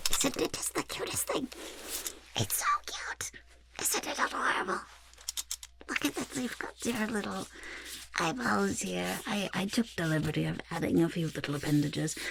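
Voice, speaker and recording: A woman voicing an eccentric, self-satisfied goblin artist in an ASMR roleplay, chatty and boastful, with a creaky voice that often drops into whispers. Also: mid-range voice, background noise. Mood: gleeful. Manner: chatty, boastful, third-person self-talk, halting murmurs. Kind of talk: ASMR roleplay, personal attention.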